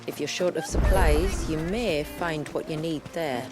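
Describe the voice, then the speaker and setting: A grave, dutiful village elder. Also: female voice, sits low, over music. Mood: composed, courteous. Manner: formal, measured, archaic phrasing. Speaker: village elder guiding travelers. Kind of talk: video game cutscene acting.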